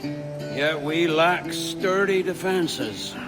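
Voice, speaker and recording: A man's voice: on the high side, expressive, extremely slow, over music. A man playing a proud, scheming pirate leader with a theatrical, commanding delivery in a video game cutscene. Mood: scornful, insistent.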